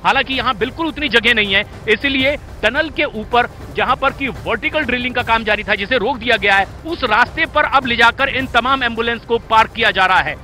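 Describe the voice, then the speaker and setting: A man's voice: very high-pitched, brisk, hardly any pauses, bright, forceful tone, soft background music, poor audio. A man anchoring live breaking news in an urgent, emphatic manner, often repeating phrases for weight.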